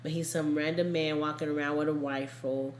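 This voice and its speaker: A woman with a fairly low voice tells a story conversationally, as a podcast host would among friends. Her phrasing is informal and emphatic. The room around her rings with noticeable echo. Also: flat delivery, a little fast, a little hiss or hum. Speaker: true crime podcast host. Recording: echoey room, casual podcast recording.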